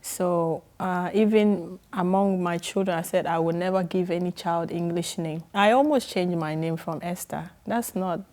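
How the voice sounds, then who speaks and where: A woman's voice: fairly low pitch, normal speed, hardly any pauses, a little mellow. A middle-aged woman with a Ghanaian accent, telling her story as a guest in a casual interview, earnest and often hesitating. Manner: earnest, with frequent hesitations and laughs. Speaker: guest, restaurant owner.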